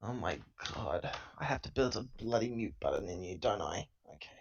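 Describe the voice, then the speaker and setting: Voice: deep voice, expressive, fairly quick, breathy edge, dull audio, voice pushed down, exaggerated voice. A player voicing an irritable, sarcastic female company agent, grumbling with exasperation. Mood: exasperated.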